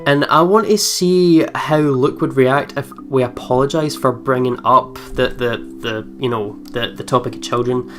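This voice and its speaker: A man's voice: mid-range voice, moderate pace, hardly any pauses. Playful man narrating a game. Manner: expressive, playful asides. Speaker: let's play host. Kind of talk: let's play commentary, reading game narration aloud. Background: soft game music with guitar.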